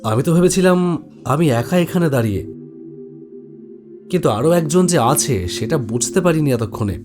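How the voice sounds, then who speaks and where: A man's voice: on the high side, lively intonation, normal speed, music in the background. A man narrates a scripted first-person horror story.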